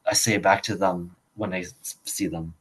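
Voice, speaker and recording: A man's voice: low voice, steady tone, a little fast, slightly rough, rough recording. A young man, a student whose first language is Khmer, talking casually in a rambling way, full of fillers and restarts. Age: young adult. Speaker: student guest. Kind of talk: casual conversation.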